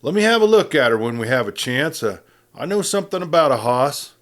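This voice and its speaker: Man playing a breezy, higher-voiced cowboy prisoner. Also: very animated, a little fast, fairly bright tone. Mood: amiable. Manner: breezy, drawling cowboy banter. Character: breezy, good-natured, proud young cowboy prisoner.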